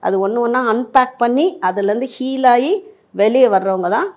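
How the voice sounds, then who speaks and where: A woman's voice: fairly high pitch, normal speed, highs cut off. A woman speaking as a life coach in an interview, explaining emphatically with rhetorical questions and examples, mixing in English words. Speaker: life coach giving relationship advice.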